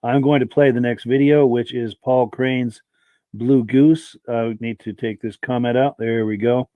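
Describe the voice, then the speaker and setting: A man's voice: medium pitch, steady tone, a little slow, no echo, narrow, tinny audio. A man hosting a live podcast, reading out viewer comments and thanking each viewer by name, with a dry throat and a voice that is giving out. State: dry throat, losing his voice. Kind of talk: live-stream chat.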